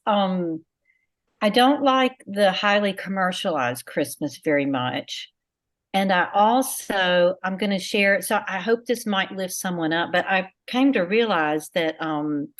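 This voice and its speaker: A woman, speaking candidly and reflectively about her faith and family in a casual group talk. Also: fairly low pitch. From United States. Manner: candid, reflective, many fillers. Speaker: guest sharing a testimony.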